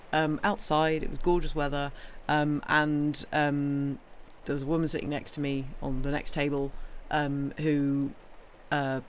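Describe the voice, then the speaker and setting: A woman's voice: sits low, slight background noise. A woman with a British accent hosting a livestream in casual, playful chat, often breaking off her own sentences and rambling on. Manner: rambling, playful, self-interrupting. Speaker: livestream host and reader.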